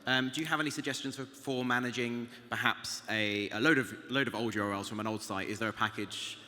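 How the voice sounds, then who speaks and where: A British man running a panel on a conference stage, his voice bright and forward. He speaks into a headset, with a long hall reverb and faint ambience around him. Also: mid-range voice, brisk. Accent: British English. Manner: light, laughing, stumbles over names. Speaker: panel moderator.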